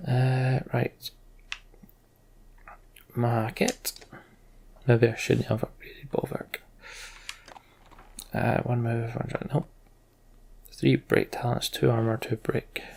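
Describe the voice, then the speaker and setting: Very gravelly male let's play host. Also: medium pitch, flat delivery, slow pace, long pauses, a little mellow, slight background noise. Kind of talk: casual gameplay commentary.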